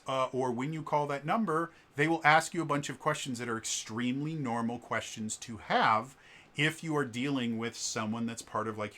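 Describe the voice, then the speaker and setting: Chatty bass-voiced man with a stutter. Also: pushed and bright. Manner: stutters on repeated sounds; explanatory, chatty, with many fillers. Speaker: vlogger. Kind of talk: casual commentary vlog.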